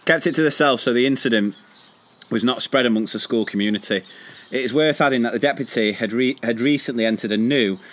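A British man and teacher co-hosting a casual podcast, chatty and quick to laugh as he reads listener stories. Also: medium pitch, a bit bright. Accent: British English. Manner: chatty, laughs often, trails off and restarts. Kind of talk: casual podcast chat, reading listener messages.

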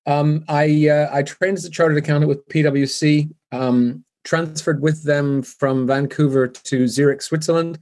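An older man from Canada with a softened Canadian accent, speaking as a guest in a business interview in a measured way with frequent ums. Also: fairly high pitch, steady tone.

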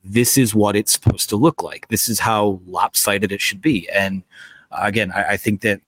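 A man with a low voice hosts a live sports talk show from a stadium press box. He is losing his voice to a cold and rambles casually, leaning on fillers. The sound is dry and close. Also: steady tone, moderate pace, fairly bright tone, slightly rough, no echo. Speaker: podcast host. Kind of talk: post-game sports talk.

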